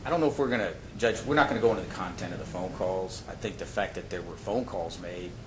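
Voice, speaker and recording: Echoey, low-voiced male prosecutor in court. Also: fast. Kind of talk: courtroom argument to a judge. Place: indoor courtroom. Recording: echoey courtroom recording with loud noise.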